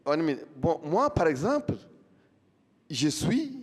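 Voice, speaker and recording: A high-voiced man from Mauritania speaks with insistence on a panel and repeats his points for force. His voice carries a long reverb from the large hall. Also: very animated, a little slow, slightly rough. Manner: emphatic, repeats phrases for force. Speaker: political party founder, panelist. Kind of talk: impassioned panel speech.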